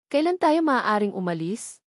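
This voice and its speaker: A woman's voice: on the high side, very animated, a little slow, dry recording, studio quality. A woman narrator voicing an anxious, pleading younger sister in a storybook.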